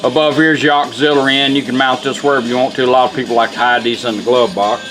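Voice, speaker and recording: A man's voice: medium pitch, fairly even intonation, very fast, music in the background, low-quality recording. A man giving a casual, hands-on product demonstration, explaining things step by step in plain, informal words. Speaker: car radio restorer demonstrating a product.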